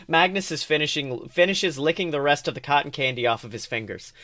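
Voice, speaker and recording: A man with a fairly high voice reads fan fiction aloud as a podcast host. His narration is expressive. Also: brisk.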